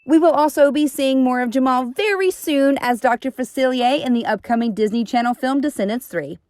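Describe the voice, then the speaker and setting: A woman's voice: high-pitched, fairly quick, background noise. A woman hosting and reading scripted narration in an upbeat presenting tone. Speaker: host.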